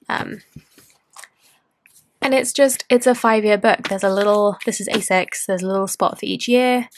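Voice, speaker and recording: A woman's voice: mid-range voice, a little hiss or hum. Late-20s woman, casual vlog host, bubbly and rambling, mid-range voice. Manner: bubbly, rambling, self-interrupting. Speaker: planner and tarot vlogger. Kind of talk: casual chatty show-and-tell.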